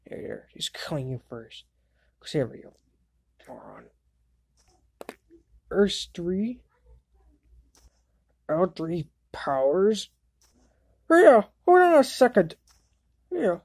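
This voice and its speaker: A woman's voice: fairly low pitch, a little mellow, no room sound, full character voice. A woman voicing a bratty, hot-tempered young boy wizard in an amateur cartoon fandub, sneering and exasperated. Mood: startled.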